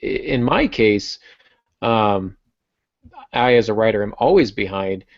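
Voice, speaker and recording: Low, gravelly-voiced man on a podcast. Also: expressive, slow pace, no room sound. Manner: reflective, wryly self-deprecating. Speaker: podcast co-host and writer. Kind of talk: casual literary discussion. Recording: muffled lo-fi podcast audio.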